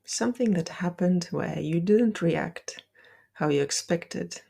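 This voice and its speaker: Low, husky woman guiding a meditation. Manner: slow, deliberate delivery with soft, breathy phrasing. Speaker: meditation guide. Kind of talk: guided meditation, gentle instruction.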